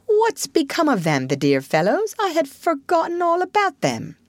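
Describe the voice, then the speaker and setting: Lady doing a vain drama-queen toad guy from a storybook, high voice, swoopy and gushing. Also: wildly swooping pitch, normal speed, no echo, exaggerated voice. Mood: airy, careless. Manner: theatrical, effusive, self-pitying. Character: vain, impulsive, melodramatically repentant male toad.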